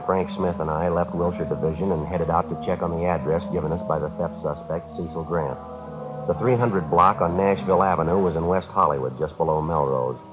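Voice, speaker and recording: A man playing a terse, relentless American police detective in a 1950s radio drama, with clipped, deadpan delivery. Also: sits low, close to an everyday voice. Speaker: actor narrating and playing a detective. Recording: dull vintage radio broadcast with music.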